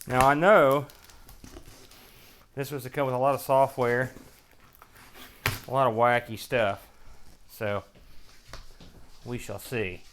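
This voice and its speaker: A man's voice: mid-range voice, steady tone, slow pace, long pauses, a bit bright, a little hiss or hum. A man hosting a retro gaming show, giving casual, wry unboxing commentary in folksy slang as he thinks aloud while handling items.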